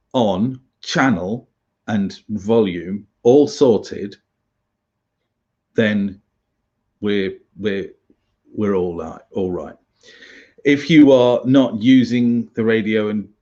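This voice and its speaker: A British man giving an informal tutorial as an instructor, halting and chatty with wry asides. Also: on the low side, mellow, lo-fi sound. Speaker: survival instructor, presenter.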